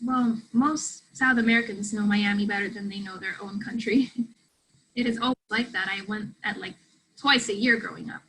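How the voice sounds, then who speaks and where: A woman's voice: mid-range voice, fairly even intonation, moderate pace, fairly bright tone, a little hiss or hum, natural voice, rough recording. A woman in a play reading playing a blunt young South American student who talks in a casual, slangy, sweary ramble. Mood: guarded, casual. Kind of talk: play reading, naturalistic conversational acting.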